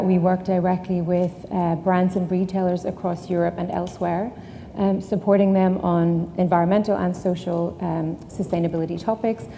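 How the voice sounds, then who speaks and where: A woman's voice: fairly low pitch, dark tone. A woman with an Irish accent giving a conference talk, explaining steadily with many ums. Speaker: conference speaker. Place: conference hall. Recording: echoey hall, distant, faint noise.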